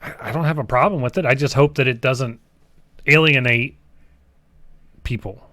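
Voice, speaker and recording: A man's voice: mid-range voice, normal speed, sharp tone, no room sound. A man co-hosting a gaming show, chatting casually and bluntly, with swearing and repeated phrases for emphasis. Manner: blunt, profane, emphatic repetition. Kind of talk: casual chat about video games.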